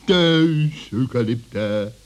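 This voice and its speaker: A man voicing a sly, pompous fox villain in a children's radio play, gloating and scheming with a husky voice. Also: mid-range voice, lively intonation, slow pace. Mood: smug. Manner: pompous, theatrical.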